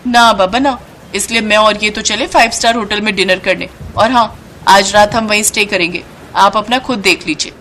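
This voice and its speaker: A woman plays a vain young daughter-in-law in a cartoon moral story. She is haughty and spoiled, talking down with mockery, pitched above the narrator's voice, with faint noise behind. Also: normal speed, fairly bright tone, close to an everyday voice. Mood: haughty, indignant. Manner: mocking, self-important.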